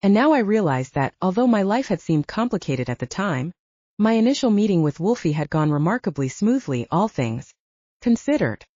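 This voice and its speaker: A woman's voice: medium pitch, sing-song, moderate pace, warm tone, dry recording, muffled recording. A woman reading first-person fiction as a scripted audiobook narration.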